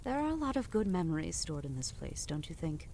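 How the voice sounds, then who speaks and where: A woman's voice: deep voice, big pitch swings, a little fast, warm tone, a little hiss or hum. A woman playing a haughty middle-aged queen and guilt-tripping mother in an audio drama, dismissive and cajoling by turns. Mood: coaxing, wistful. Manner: dismissive, cajoling, imperious, with exasperated sighs. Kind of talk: audio drama podcast acting.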